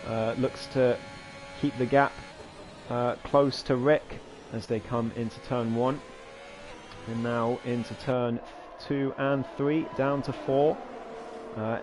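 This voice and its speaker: A hoarse man giving live commentary on an online racing league, his voice climbing excitedly through each overtake. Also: medium pitch, background noise. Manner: rising excitedly during overtakes, chatty asides. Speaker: race commentator.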